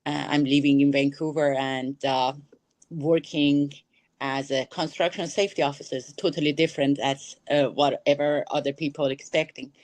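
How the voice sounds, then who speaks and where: Iranian woman with halting, filler-heavy speech. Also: low-pitched, fairly even intonation, darkish tone, dry recording. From Iran. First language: Persian. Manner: hesitant, many fillers, non-native phrasing. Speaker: panel guest. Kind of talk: webinar panel discussion. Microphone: video call.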